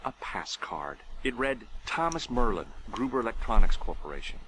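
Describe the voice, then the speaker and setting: Deadpan detective type in an adventure game, mid pitch, noisy background. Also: male voice, normal speed. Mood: wry, matter-of-fact. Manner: wry, deadpan. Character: wry, easygoing young male amateur sleuth. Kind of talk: scripted game narration and dialogue.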